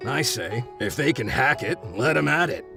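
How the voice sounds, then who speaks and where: A good-natured working man from a video game. He talks in a medium-pitched voice, casual, folksy and chatty. Soft music sits in the background. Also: lively intonation, slow, creaky. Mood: easygoing, chatty. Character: easygoing, good-natured male site worker, chatty and tolerant.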